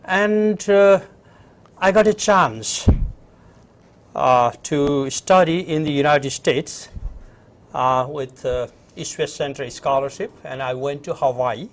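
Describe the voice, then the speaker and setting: A middle-aged man from Nepal with a Nepali accent, answering interview questions conversationally in a halting way and repeating phrases. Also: on the high side. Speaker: interview guest, university professor. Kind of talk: conversational interview, recounting own background.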